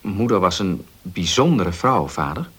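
An earnest, husky-voiced young son. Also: male voice, sits low, sing-song, unhurried, a bit bright, exaggerated voice. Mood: puzzled, gentle. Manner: earnest, polite, questioning. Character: respectful, concerned grown son questioning his father. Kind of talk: Dutch radio play acting.

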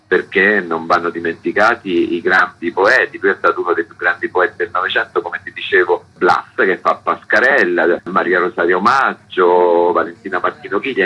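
Man, husky, enthusiastic, muffled radio audio. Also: deep voice. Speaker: theatre artistic director interviewed as guest. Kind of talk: radio interview.

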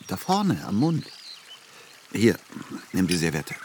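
A man's voice: low voice, wildly swooping pitch, slow pace, noisy background, heavily acted. A man playing an earnest, brooding adult son in a radio play, speaking hesitantly. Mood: reluctant.

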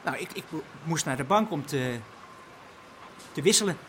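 An actor playing a meek, evasive employee in a radio drama, hesitant and stammering. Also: male voice, on the high side, lively intonation, moderate pace, a bit bright, slight background noise. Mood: nervous, evasive. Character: timid, evasive male employee of a crime boss.

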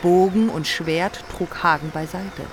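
A woman's voice: lowish voice, steady tone, unhurried, noisy background. A woman narrating a heroic epic in a scripted radio play.

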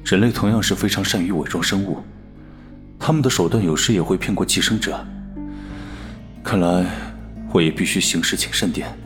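A man playing a cool, fastidious alien parasite posing as a human in an audio drama, detached and disdainful. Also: sits low, music in the background, natural voice. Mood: cold, scheming. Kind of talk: audio drama dialogue and inner monologue.

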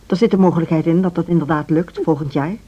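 A woman's voice: sits low, fairly even intonation, quick pace, mellow, slightly rough. A middle-aged woman acting a dedicated, earnest music school director in a Dutch social radio drama. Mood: earnest, persuasive. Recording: dull, band-limited radio drama recording.

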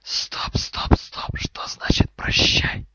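A haughty, furious snake speaks in a sharp, hissing whisper. It is scornful and commanding, with no real voiced tone. The storybook recording is close and dry. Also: male voice, takes it slowly, dry recording, muffled recording. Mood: alarmed. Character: angry, haughty male snake, hissing orders and insults.

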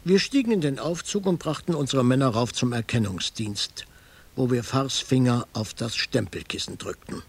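Gravelly hardboiled detective. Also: male voice, mid-range voice, moderate pace. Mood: dry, matter-of-fact. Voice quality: gravelly, husky. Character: hardboiled male private detective narrating his case. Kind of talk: radio crime drama narration.

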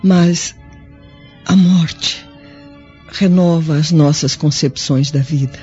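An actress with a Brazilian accent playing a dying, remorseful Roman widow in a radio drama, speaking formally and sorrowfully. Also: female voice, low-pitched, dark tone. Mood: solemn, serene. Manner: slow, formal, sorrowful, theatrical. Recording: dull lo-fi audio with music behind.

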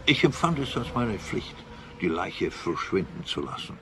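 An actor playing a jumpy, blustering older emigrant back from America, mixing in English phrases, in a radio play. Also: male voice, deep voice, big pitch swings, a little slow, noisy background. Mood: confiding. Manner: indignant, peppered with English phrases.

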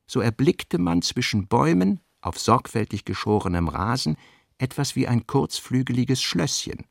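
Creaky-voiced man narrating literary prose. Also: mid-range voice, dry recording, studio quality. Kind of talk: scripted literary narration for a radio play.

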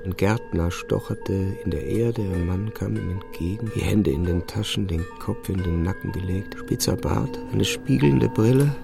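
Gravelly, weary male narrator. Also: low-pitched, normal speed, hardly any pauses. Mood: weary, introspective. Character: ailing male first-person narrator, weary and strained. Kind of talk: scripted literary narration in radio play. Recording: music bed behind narration.

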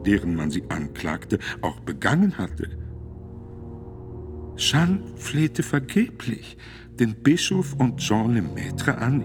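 An actor playing a solemn, authoritative medieval pope who formally declaims a decree in a measured, emphatic way for a radio play. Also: male voice, on the low side. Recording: studio radio drama with music behind.

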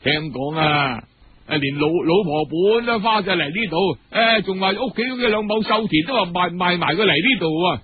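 A sneering gossip acted out by a man storyteller stammers and repeats syllables with scorn, in Cantonese-accented speech. The voice is high and husky, on a dull storybook recording. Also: normal speed. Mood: scornful, mocking. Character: sneering, stuttering gossip mocking others. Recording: dull lo-fi radio-style recording.

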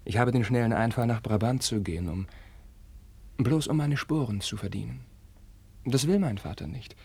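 A troubled, bitter and ardent young prince in a vintage radio play. His mid-pitched voice is husky, with a dry studio sound. He speaks with intense, theatrical classical declamation. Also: normal speed, a little mellow, no echo. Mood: guarded, ironic. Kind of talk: 1950s German radio play, classical verse acting.

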